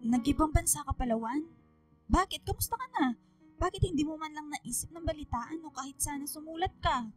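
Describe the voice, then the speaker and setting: A woman's voice: high voice, brisk, soft background music, lightly acted. An actress playing an emotional middle-aged mother, forgiving yet admonishing, in a radio drama, with a Filipino accent. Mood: shocked, concerned. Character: middle-aged mother, forgiving of husband, scolding her daughter.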